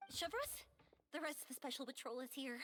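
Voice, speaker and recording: A woman voicing a tiny, childlike, curious companion creature with a squeaky voice in a video game. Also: expressive, a little fast, bright, forceful tone, a little hiss or hum. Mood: attentive. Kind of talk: scripted video game voice acting.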